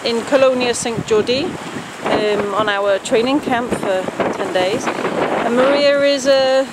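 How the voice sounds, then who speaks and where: A woman's voice: on the high side, takes it slowly. A woman giving a casual, hesitant introduction outdoors by the sea. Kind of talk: casual spoken intro to camera. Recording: loud wind noise on the mic, outdoors by a boat.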